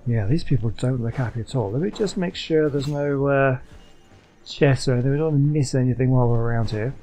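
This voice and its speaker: A man giving casual gameplay commentary, thinking out loud and questioning himself as he goes. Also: mid-range voice, brisk. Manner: muses aloud, questioning himself. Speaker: gaming video host. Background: faint game music.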